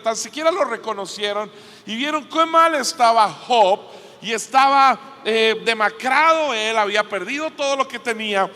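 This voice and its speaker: Costa rican guy preaching, pretty high voice, slow and emphatic, big echoey hall. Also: pushed and bright. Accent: Costa Rican Spanish. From Costa Rica. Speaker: guest preacher at a church conference. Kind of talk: sermon with scripture reading and prayer. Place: large indoor church conference hall. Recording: long hall reverb from a PA system.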